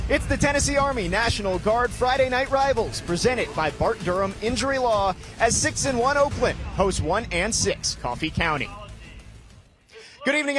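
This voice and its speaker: A man calling live sports play-by-play in punchy, crisp announcer phrasing. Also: very high-pitched.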